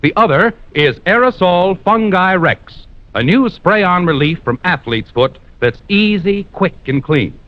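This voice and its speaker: A man's voice: sits high, lively intonation, unhurried. A man announcing in polished, emphatic 1950s radio style, reading scripted copy like a salesman. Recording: muffled old radio recording.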